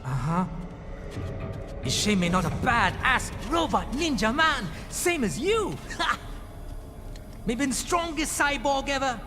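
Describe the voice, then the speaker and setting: A man's voice: very high-pitched, very animated, slow pace, long pauses, full character voice. A male actor playing a cheeky, defiant street boy with a Guyanese accent and Creole dialect in a video game. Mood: streetwise, defiant. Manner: colloquial Creole dialect, cocky. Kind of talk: video game cutscene acting. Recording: long hall reverb, music behind.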